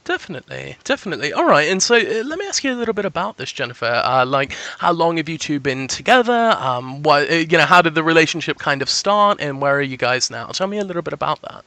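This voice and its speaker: A man's voice: fairly high pitch, big pitch swings, quick pace, hardly any pauses, sharp tone, no echo. A man working as a psychic, talking in an effusive, reassuring, salesman-like way as he gives a conversational reading. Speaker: psychic giving a sample reading. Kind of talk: conversational phone reading for an audience.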